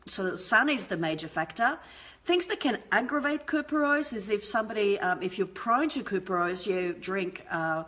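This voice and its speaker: A woman's voice: medium pitch, lively intonation, moderate pace, a bit bright. A woman in her forties, a beauty therapist, chatting through a live skincare lesson from home on her webcam, explaining with many ums and restarts. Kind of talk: live-stream skincare talk. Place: home room with lamp and shelf. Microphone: webcam at desk.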